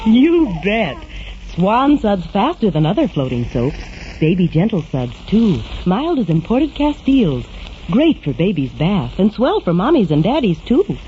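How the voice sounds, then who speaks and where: A woman's voice: mid-range voice, big pitch swings, a little slow. A woman performing a vintage radio soap commercial. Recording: muffled, noisy vintage radio recording.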